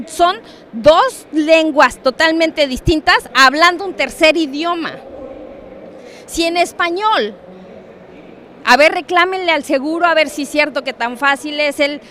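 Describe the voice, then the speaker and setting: Animated high-voiced Mexican woman lecturing. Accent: Mexican Spanish. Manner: animated, joking, asks audience questions. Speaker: conference speaker on international trade. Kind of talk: lively conference talk with props and asides. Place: conference hall.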